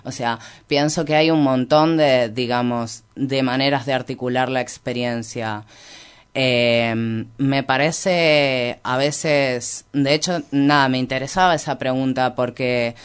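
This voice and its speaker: Clear-voiced, reflective Argentine man. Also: mid-range voice, steady tone, takes it slowly. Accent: Argentine Spanish. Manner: hesitant, reflective, self-correcting. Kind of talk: informal intellectual discussion.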